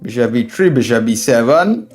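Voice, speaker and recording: A man from Trinidad with a Trinidadian accent streams live game commentary at a middling pitch. He thinks his moves through out loud, often repeating a phrase, and talks to viewers as friends. Faint music plays behind him. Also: expressive, moderate pace. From Trinidad and Tobago. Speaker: chess streamer and instructor. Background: background music.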